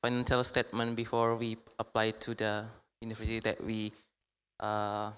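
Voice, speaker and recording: A man in the audience asks a halting question, stopping often to find his words. His voice is smooth and flat, and it echoes in a long hall reverb. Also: on the low side. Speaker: audience member asking a question. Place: lecture hall.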